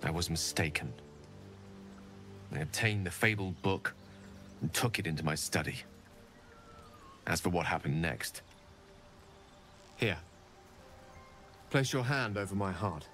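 An actor playing an eloquent, self-deprecating wizard in a video game, speaking in a theatrical, articulate way. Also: male voice, low-pitched, unhurried, pauses often. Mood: wistful. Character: wizard man, eloquent, wry, melancholy. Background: soft game music.

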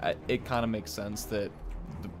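A man's voice: on the low side, moderate pace, over music. A young man giving casual video game commentary as a host. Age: young adult. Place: indoor room, at a desk. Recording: clear game noise behind. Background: video game ambience.

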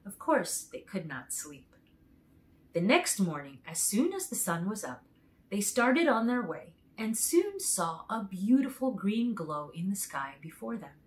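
A middle-aged woman reading a children's story aloud in an expressive, clearly paced way. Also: mid-range voice, unhurried. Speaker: storytime reader.

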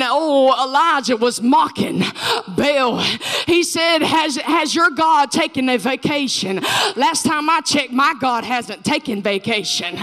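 Shouting, high-pitched revival preacher woman. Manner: impassioned, shouting, sing-song cadence; drawn-out emphatic phrasing. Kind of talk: fiery revival sermon.

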